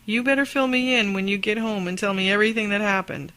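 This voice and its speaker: A woman acting a warm, teasing, supportive wife in an amateur radio drama, chatty and affectionate. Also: medium pitch, fast talker, dry recording, natural voice, rough recording.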